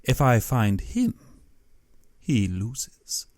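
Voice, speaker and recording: A man's voice: mid-range voice, wildly swooping pitch, very slow, a little mellow, no room sound, studio quality, full character voice. A man voicing a genteel, menacing general in an audiobook, with pompous and exaggeratedly courteous speech. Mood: smooth menace. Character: genteel, menacing aristocratic general who hunts men.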